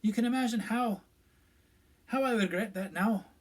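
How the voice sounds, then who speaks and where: A man's voice: high-pitched, normal speed, voice pushed up. A man voicing a strict older female professor who speaks in a clipped, scolding way. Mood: stern regretful. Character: stern older woman professor, brisk and strict.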